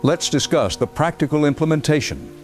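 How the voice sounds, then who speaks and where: A man's voice: a little high, animated, a little fast, faint music behind, close to an everyday voice. A man playing a composed news anchor in an audio drama, putting probing questions in a formal broadcast manner. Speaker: news anchor interviewing a guest.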